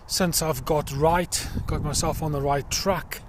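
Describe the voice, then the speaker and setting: A middle-aged man with a South African accent, talking earnestly about himself in a personal vlog while out walking in a field, with many pauses and fillers. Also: on the high side, fairly even intonation, slight background noise. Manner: earnest and reflective, pausing with fillers. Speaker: crowdfunding campaigner. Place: outdoors in a field.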